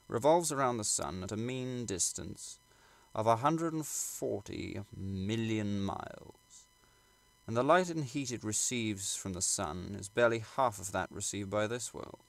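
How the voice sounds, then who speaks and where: Low, creaky-voiced man narrating a book. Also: dry recording. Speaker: audiobook reader. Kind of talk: scripted literary narration.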